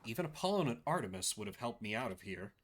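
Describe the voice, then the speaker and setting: A man's voice: fairly low pitch, sing-song, quick pace, a bit bright, a little hiss or hum. A man voicing a curious, self-possessed young woman, a printer's daughter, in a video game. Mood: matter-of-fact, cautious.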